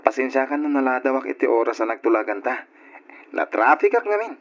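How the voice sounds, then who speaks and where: Radio drama role: young Ilocano male student, friendly and eager, animated intonation, muffled sound. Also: medium pitch, fairly quick, slight background noise. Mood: friendly, coaxing. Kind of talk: old radio drama acting. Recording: muffled old radio recording.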